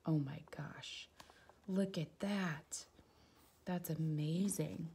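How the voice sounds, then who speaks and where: A woman's voice: low-pitched, extremely slow, a little hiss or hum. A woman reading a children's picture book aloud expressively, with casual asides about the pictures. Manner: expressive, exclaiming softly at the pictures.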